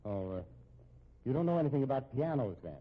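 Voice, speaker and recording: An actor playing an anxious, respectable American husband in a 1950s radio drama, speaking in a measured, pointed way. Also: male voice, mid-range voice, animated, close to an everyday voice. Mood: nervously guarded. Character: respectable, anxious, shrewd husband held hostage at home. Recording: muffled, tinny old radio recording.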